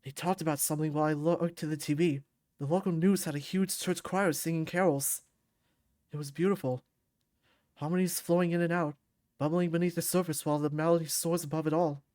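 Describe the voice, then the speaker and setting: A woman's voice: low voice, steady tone, brisk, a little mellow, no echo, studio quality, pitched below the performer's own voice, natural voice. A woman voicing a brooding, guilt-ridden young male fighter in a visual novel, subdued, introspective and bitterly wry. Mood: bitter, wistful.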